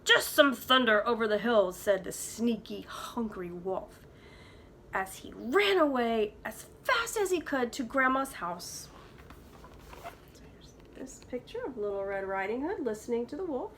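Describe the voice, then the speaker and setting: A woman's voice: a little high, big pitch swings, a little slow, slight background noise. A woman preschool teacher reading a storybook aloud to young children, with dramatic emphasis.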